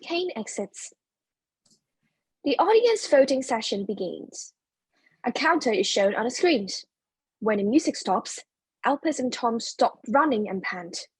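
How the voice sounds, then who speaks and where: A woman reads stage directions as scripted narration. Her voice is on the high side and a little husky, recorded close and dry. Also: very animated, unhurried, pauses often, no room sound.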